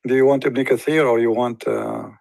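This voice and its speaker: A man's voice: mid-range voice, steady tone, moderate pace, vocal fry, a little mellow, no room sound. A man hosting a confrontational debate, pressing and sarcastic, cross-examining his guest. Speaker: debate host.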